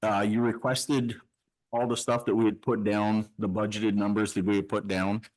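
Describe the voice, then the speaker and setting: A man's voice: lowish voice, little pitch movement, normal speed, a little mellow, poor audio. Man chiming in with short teasing replies. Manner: brief affirmations, teasing. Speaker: select board member. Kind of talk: meeting discussion, casual. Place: town meeting room.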